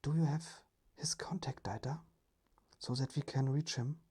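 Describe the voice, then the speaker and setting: Friendly male family member, acted by an ASMR reader, considerate, whispery, unhurried, storybook. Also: mid-range voice, fairly even intonation, mellow, pitched above the performer's own voice. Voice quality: breathy, whispery.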